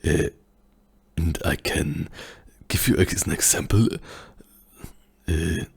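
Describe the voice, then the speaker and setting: A soft-spoken man with a German accent answers questions in a hushed, half-whispered voice. His voice is very low and gravelly, and his breathy tone is far from clear. He talks slowly, with many pauses and searches for words. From German-speaking country. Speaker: ASMR channel host.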